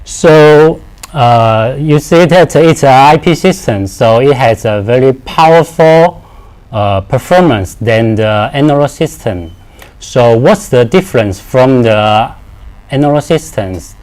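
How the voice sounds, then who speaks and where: A man in his thirties presents technical product training at a slow pace. He speaks haltingly in simple, non-native phrasing, often repeating a word before he moves on. His voice sits at an ordinary male pitch. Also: expressive, darkish tone.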